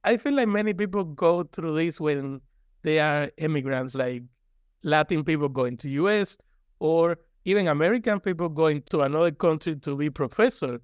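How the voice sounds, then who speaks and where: Higher-pitched man with a Cuban accent. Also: moderate pace, clean tone, no room sound. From Cuba. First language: Spanish. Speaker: guest, university professor. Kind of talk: conversational interview.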